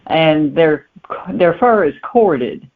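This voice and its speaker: A woman novelist guest chatting casually and anecdotally, somewhat halting with repeated words, over a tinny phone-like line. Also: deep voice, animated. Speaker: novelist guest being interviewed. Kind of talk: casual, anecdotal interview chat.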